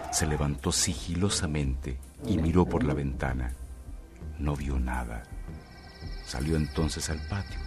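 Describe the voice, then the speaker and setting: Very deep-voiced male mystery narrator. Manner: measured, dramatic storytelling. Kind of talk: scripted radio mystery narration. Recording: music bed under the voice.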